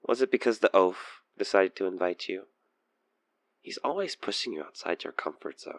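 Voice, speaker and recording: A man's voice: sits low, expressive, moderate pace, dry recording. A man playing an obsessed, taunting stalker killer in an intimate audio roleplay, theatrical and teasing as he slips between murmurs and whispers. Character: obsessed male stalker killer, playfully menacing, infatuated, taunting.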